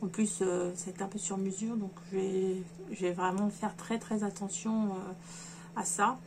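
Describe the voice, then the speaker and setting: A woman's voice: mid-range voice, flat delivery, unhurried. Rambling woman with noise behind. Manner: rambling, hesitant, many fillers and restarts. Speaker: sewing vlogger. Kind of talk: casual chat to camera. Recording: noisy home recording.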